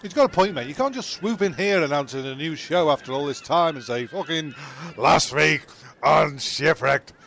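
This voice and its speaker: A man's voice: fairly high pitch, lively intonation, normal speed, gravelly, a bit bright, slight background noise. A man playing an indignant, nagging, sweary castaway in a comic radio play.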